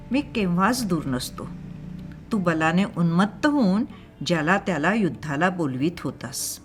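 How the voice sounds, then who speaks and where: A woman voicing a divine boy god, serene and benevolent, teaching solemnly and calmly in an audio story. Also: lowish voice.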